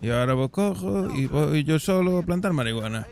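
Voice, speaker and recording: A man's voice: on the high side, expressive, a little fast, vocal fry, darkish tone. A man with a Spain Spanish accent, a streamer bantering in a roleplay game with crude, teasing jokes.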